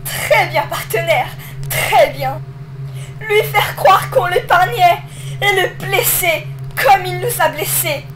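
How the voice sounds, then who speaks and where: A woman's voice: extremely high pitch, expressive, slow, background noise, exaggerated voice. A woman voicing a bitter, taunting demonic child spirit in a theatrical, intense comic dub. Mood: vengeful, tearful. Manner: mocking, theatrical, emotionally intense. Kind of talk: amateur comic dub acting.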